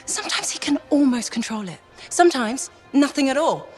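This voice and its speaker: An actress playing a weary, haunted woman who supports a crew of fighters in a video game drama, speaking in a measured, wistful way. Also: high-pitched, animated, soft background music. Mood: weary, resigned.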